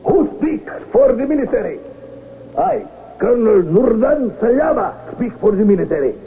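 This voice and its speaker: An actor playing a solemn, ceremonious colonel in a 1970s radio drama, speaking in a formal, stately manner. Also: male voice, very high voice, very animated, full character voice. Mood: solemn, ceremonial. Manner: formal, stately, measured delivery. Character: solemn, ceremonious colonel presiding over a coronation. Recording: tinny, noisy old radio drama recording.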